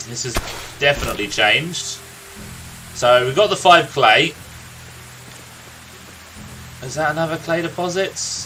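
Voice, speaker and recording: A man's voice: medium pitch, low-quality recording. A man hosting a gaming video, giving casual commentary as he plays. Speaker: gaming host. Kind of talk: casual gameplay commentary with dialogue read aloud.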